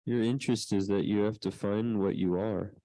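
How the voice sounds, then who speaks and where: A man's voice: lowish voice, steady tone, moderate pace, warm tone, no room sound, poor audio. A man in a class who repeats each phrase of the lecture aloud.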